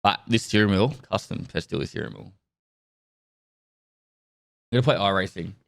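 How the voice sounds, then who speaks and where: A man with a stuffy nose from a cold, chatting casually on a live stream and thanking subscribers in a rambling, relaxed way. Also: fairly low pitch, moderate pace, vocal fry.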